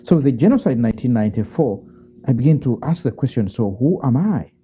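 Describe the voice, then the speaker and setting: A man from Uganda, a theologian and priest giving thoughtful interview answers, pausing and restarting and asking himself rhetorical questions. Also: mid-range voice, big pitch swings, mellow, husky. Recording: muffled lo-fi call audio.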